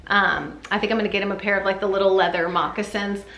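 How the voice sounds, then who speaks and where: Female parenting vlogger, chatty and informal, bright tone, roomy echoing sound. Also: medium pitch, steady tone, quick pace, highs cut off. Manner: chatty, informal, often says "like". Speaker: parenting vlogger giving a baby update. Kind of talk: casual chat to camera. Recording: echoey room with faint background noise.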